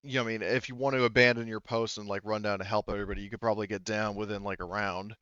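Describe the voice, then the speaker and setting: A man running a tabletop roleplaying game as game master, talking casually and haltingly as he thinks aloud. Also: fairly low pitch, flat delivery, a bit bright, smooth, no room sound, muffled recording.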